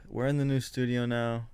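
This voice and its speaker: A young man co-hosting a casual group podcast, telling things in a slangy, profane, animated way. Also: mid-range voice, measured pace, clean tone, no echo. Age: young adult. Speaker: podcast co-host.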